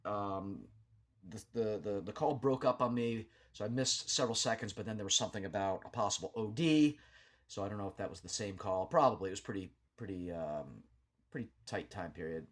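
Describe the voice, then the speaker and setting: Low-voiced man giving an unscripted local rundown. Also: animated, moderate pace. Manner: hesitant, rambling, matter-of-fact. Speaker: local news vlogger. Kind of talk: unscripted commentary over a map, recapping local news.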